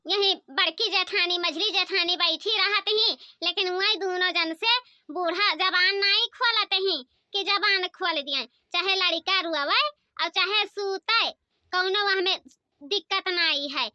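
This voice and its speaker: A woman's voice: very high-pitched, a little slow, bright, forceful tone, no room sound, dull audio, heavily acted. A woman voicing an aggrieved young village mother and daughter-in-law in a comic cartoon, complaining and exclaiming in an Awadhi accent. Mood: aggrieved grumbling.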